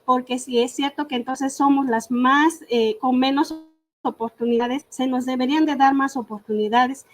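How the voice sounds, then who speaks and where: A woman's voice: high-pitched, steady tone, moderate pace. A woman whose first language is Purépecha, from Michoacán in Mexico, giving an earnest talk on an online panel with many hesitations and fillers. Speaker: invited speaker.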